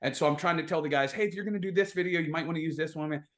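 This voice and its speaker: A man's voice: on the high side, rapid-fire, a bit bright. An American man talking casually as a football podcast guest, telling insider stories. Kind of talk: casual sports chat and anecdotes.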